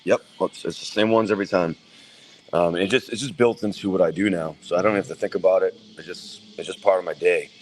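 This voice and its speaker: A man, answering interview questions in a relaxed, plain-spoken way with many ums and restarts. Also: deep voice, quick pace, a little hiss or hum, poor audio. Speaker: metal band vocalist, guest.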